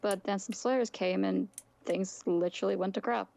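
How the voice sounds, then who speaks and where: A woman playing a calm, reserved masked demon with a creaky, matter-of-fact voice in an improvised Minecraft anime roleplay. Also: medium pitch, normal speed, no room sound, lightly acted.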